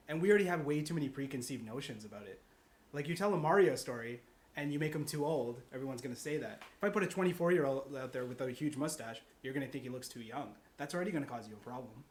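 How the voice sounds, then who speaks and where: A man's voice: fairly high pitch, quick pace. A man chatting casually as a podcast guest, explaining his ideas in a rambling, conversational way. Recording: clear background noise.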